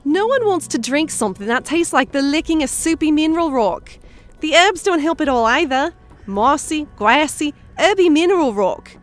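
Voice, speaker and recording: A woman's voice: high voice, lively intonation, normal speed, soft background music. A woman playing a feisty, indignant fantasy woman who complains emphatically in an audio drama. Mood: grumbling. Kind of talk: audio drama character acting.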